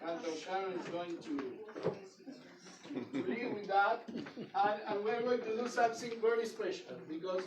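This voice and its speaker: A man with a non-native English accent leading a synagogue service, joking with the congregation in a halting, playful way. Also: sits high, very noisy. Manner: halting, playful, self-interrupting, sings and chants. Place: synagogue sanctuary. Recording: roomy, distant mic, crowd audible.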